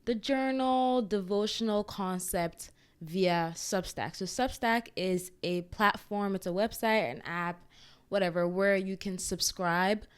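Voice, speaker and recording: A young woman hosting her own podcast, talking casually and upbeat, with lots of "like" and quick restarts. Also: fairly low pitch, smooth. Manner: chatty and upbeat, full of likes and restarts. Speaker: podcast host. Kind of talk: casual solo podcast talk.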